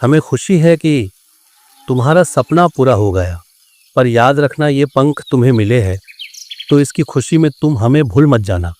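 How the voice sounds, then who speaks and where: A man playing a caring, sensible forest animal in a children's cartoon, giving gentle advice to a friend. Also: medium pitch, animated, moderate pace, slight background noise, natural voice. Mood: advising. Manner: gentle, advising. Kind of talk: Hindi children's cartoon dialogue.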